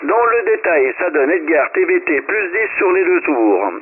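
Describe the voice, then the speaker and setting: A man, a ham radio operator, giving signal reports in a relaxed radio chat with joking asides. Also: sits high, moderate pace. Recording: tinny shortwave radio with hiss.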